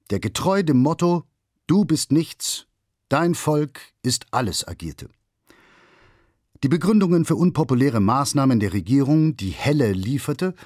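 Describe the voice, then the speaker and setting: A man reading a scripted documentary narration in a measured, factual and formally articulated way. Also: a little high, a little mellow, no room sound, studio quality.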